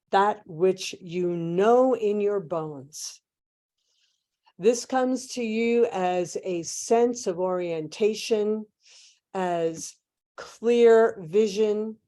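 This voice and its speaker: A woman leading a guided meditation in measured, hushed phrases with long pauses, like a spiritual transmission. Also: fairly low pitch.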